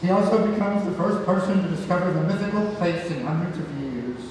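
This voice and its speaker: A man's voice: high-pitched, a little fast, natural voice. A man at a play read-through acting a protective, earnest grandfather who poses as a golden idol. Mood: instructive. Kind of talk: stage play table read. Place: theatre room, recorded from far off. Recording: distant echoey hall, clear background noise.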